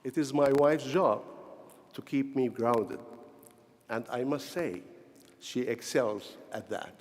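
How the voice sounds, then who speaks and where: Man, Syrian Arabic accent, formal convocation speech, unhurried pace, pauses between short phrases, long hall reverb. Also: medium pitch. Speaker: honorary doctorate recipient giving convocation address. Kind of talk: formal prepared ceremonial speech. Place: large convocation hall, speaking to graduates.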